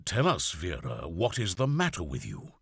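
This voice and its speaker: A stern, heavy old priest in a literary audiobook, acted by a male reader. He speaks loudly and formally, with a husky harshness and pained insistence. The delivery swings widely in pitch. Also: very animated, slow pace, no echo, clean studio sound, exaggerated voice. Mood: stiff concern. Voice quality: loud, harsh.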